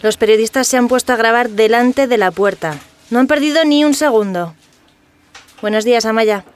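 A woman's voice: on the high side, normal speed, close to an everyday voice. A woman playing a composed, authoritative theatre director in an audio drama. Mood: firm. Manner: firm, authoritative, polite.

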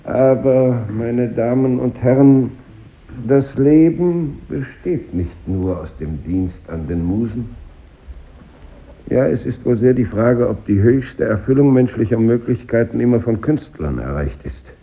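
An actor playing a pompous, ironic grammar-school teacher who lectures his class in measured rhetoric, in 1950s radio drama style. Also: mid-range voice, expressive. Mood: ironic, reproachful. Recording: muffled old radio recording, echoey room.

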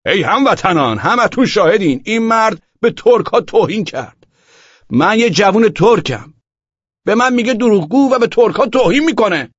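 Man acting a hot-headed young man, shouting high. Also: expressive, hardly any pauses, slightly rough. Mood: shouting, outraged. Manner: shouting, indignant, accusing. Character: hot-headed indignant young Turkish man, loud street accuser. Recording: roomy echo, dull lo-fi audio.

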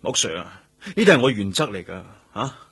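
An actor with a Hong Kong Cantonese accent playing a stubborn, weary young police detective in a radio drama, defiant and insistent. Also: male voice, fairly low pitch, sing-song, a little fast, a bit bright, slightly rough, dry recording, heavily acted. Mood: restrained, firm. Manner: defiant, insistent, repeating himself for emphasis.

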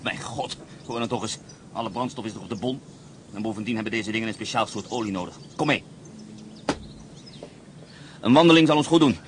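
A man's voice: lowish voice, fairly quick, long pauses, natural voice. An actor playing a determined male fugitive leader who gives curt orders in a radio play. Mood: impatient. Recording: loud engine noise behind.

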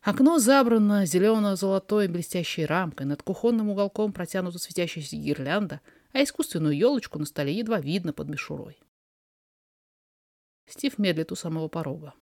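A narrator reading a fiction story aloud in an expressive, dramatized way. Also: mid-range voice, fairly quick, no echo, clean studio sound. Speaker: podfic reader, narrator.

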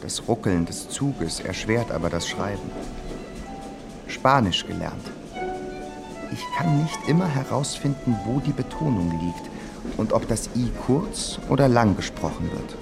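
An actor in a radio play playing a reflective composer speaking his diary in a measured, dryly observant way. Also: medium pitch, animated, gravelly, over music.

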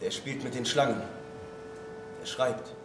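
A man's voice: mid-range voice, measured pace. A man reciting a poem, heard in an echoing, distant recording. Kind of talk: poetry recitation. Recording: echoey, distant, music behind.

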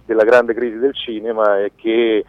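A man giving a conversational radio interview as a filmmaker, haltingly searching for words and restarting phrases. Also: fairly low pitch, level tone, dry recording. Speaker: documentary filmmaker being interviewed. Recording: dull lo-fi radio sound, dry.

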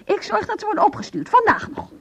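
A woman playing a bitter estranged wife in a radio drama, husky, clipped and sarcastic, holding back her emotions. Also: very high-pitched, very animated, quick pace, exaggerated voice. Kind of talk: Dutch radio drama acting.

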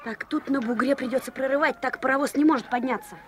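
A woman's voice: sits high, fast talker, slight background noise, natural voice. A woman playing an eager, proud little boy in a radio play, speaking in an earnest, insistent childlike way. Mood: busy, bossy. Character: eager, proud little boy, about seven, budding composer. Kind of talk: 1970s radio play acting.

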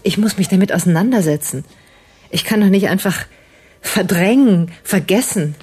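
A woman's voice: mid-range voice, lively intonation, moderate pace, a little mellow, husky. Radio drama: female doctor, worn down and prickly, formal and clipped, mid-range voice. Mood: strained, subdued. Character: weary, irritable, reserved female doctor in emotional turmoil. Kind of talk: naturalistic German radio drama acting.

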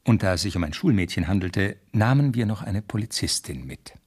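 An actor playing a police assistant who narrates the case drily in a radio crime drama. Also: male voice, on the low side, animated, a little fast, darkish tone, breathy edge. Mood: dry narration.

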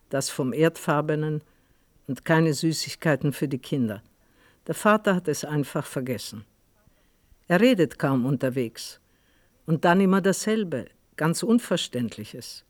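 An actor as a terse, observant storyteller, reading literary radio-play narration in measured, clipped phrases. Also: male voice, on the high side, no echo, clean studio sound, natural voice.

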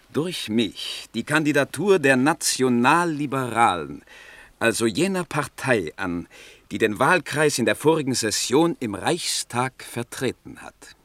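Casting: small-town political spokesman, medium pitch, formal and flattering, orotund radio-play speechmaker. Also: no room sound. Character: ceremonious, flattering party delegate and small-town dignitary. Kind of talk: 1960s German radio play acting.

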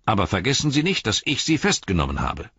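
A man's voice: lowish voice, wildly swooping pitch, fast, bright, forceful tone, husky, no echo, muffled recording, pitched above the performer's own voice, exaggerated voice. A man voicing a pompous, officious male hobbit police captain who lectures in stiff, bureaucratic phrases.

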